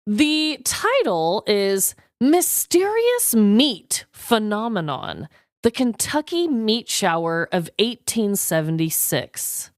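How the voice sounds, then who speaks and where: A woman co-hosting a podcast, chatting and reading stories aloud in an animated, exclaiming way. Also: medium pitch, slow pace, no room sound, clean studio sound. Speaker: podcast co-host.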